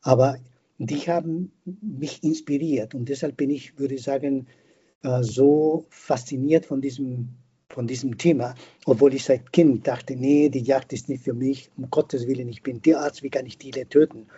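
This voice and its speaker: A man's voice: mid-range voice, steady tone, normal speed, warm tone, slightly rough, lo-fi sound. An older man, a non-native speaker with a foreign accent, giving a reflective interview and repeating words as he searches for phrasing. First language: not German; a non-native speaker of German. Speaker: podcast guest, veterinarian and hunter.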